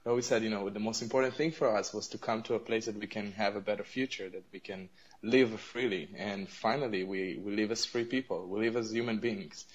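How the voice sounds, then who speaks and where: A young man with a fairly low voice speaks English as his third language, his first being Arabic. He answers in a hesitant, conversational way, full of pauses and restarts. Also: fairly even intonation, poor audio. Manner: hesitant, many fillers and restarts. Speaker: interview guest.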